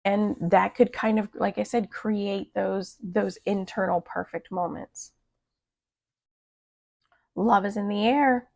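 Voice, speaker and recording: Woman, raspy and hoarse, gravelly fry, chatty tarot reading, mid pitch. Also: steady tone, slow, long pauses, a little mellow. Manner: chatty, often adds "like" and "right?". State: hoarse from a cold. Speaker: tarot reader. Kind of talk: casual spoken tarot forecast.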